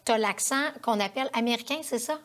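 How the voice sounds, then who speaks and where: A woman's voice: a little high, fairly quick. A woman with a Quebec French accent interviewing a guest as host of a TV show, in a conversational studio style.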